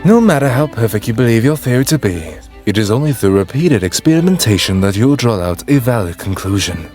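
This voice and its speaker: A man plays a learned doctor in an anime. The delivery is precise and didactic, with lively rises and falls in a middle register. Faint music sits behind it. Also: medium pitch, a little fast, lightly acted. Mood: calmly instructive. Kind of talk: anime dub acting.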